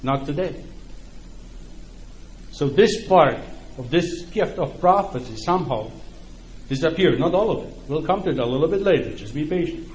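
A man's voice: on the high side, a little mellow. A middle-aged man with a Polish accent, a native Ukrainian speaker, preaching a sermon in a conversational way and often checking in with his listeners. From Poland. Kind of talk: sermon to a congregation. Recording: echoey room, faint background noise.

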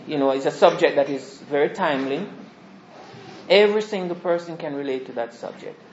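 Soft-spoken preacher in an echoey hall. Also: male voice, slight background noise. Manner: soft-spoken, rambling with restarts. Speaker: visiting preacher. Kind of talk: informal sermon introduction. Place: small church hall. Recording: echoey hall, dull low-fi sound.

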